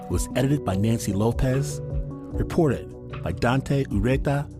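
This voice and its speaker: A man's voice: medium pitch, a little slow. A man hosting a podcast, reading scripted credits and introductions. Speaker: podcast host. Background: music.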